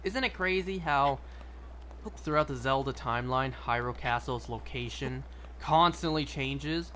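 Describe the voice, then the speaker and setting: A man's voice: medium pitch, measured pace, sharp tone, slight background noise. A young man chatting casually and rambling through gameplay commentary, with wry little asides. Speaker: streamer.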